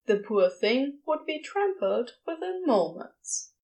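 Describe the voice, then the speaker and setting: A woman narrates scripted fantasy fiction. Her voice sits on the high side. Her intonation swings widely and keeps the reading animated. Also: takes it slowly.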